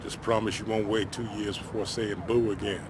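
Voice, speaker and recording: An actor playing a blunt, folksy, world-weary middle-aged American ex-miner, friendly and wry, in naturalistic video game style. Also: male voice, fairly low pitch, flat delivery, fairly quick, background noise, lightly acted. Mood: weary, wistful.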